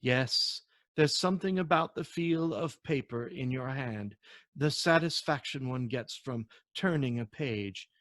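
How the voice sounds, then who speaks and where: A man's voice: mid-range voice, a bit bright, no echo, close to an everyday voice. Man acting a formal, bookish antique dealer. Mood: gracious, reflective. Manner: formal, measured, eloquent. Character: courteous, faintly impatient male antique dealer, bookish and formal.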